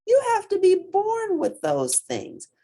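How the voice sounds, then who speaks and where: A woman voicing an enormous, amused elephant who gently cautions in a patient storybook manner. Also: extremely high pitch, wildly swooping pitch, slow, voice pushed up, exaggerated voice. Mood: amused, cautioning.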